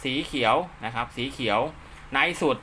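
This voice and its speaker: A man's voice: medium pitch, fairly even intonation, normal speed, bright, forceful tone, a little hiss or hum. A man giving an informal hands-on tutorial step by step, often checking that the listener follows. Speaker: instructor, channel host.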